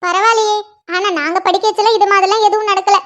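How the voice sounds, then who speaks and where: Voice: very high voice, level tone, a little fast, a bit bright. A performer doing a teasing college-age older sister. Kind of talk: one-person comedy skit. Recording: dull lo-fi recording.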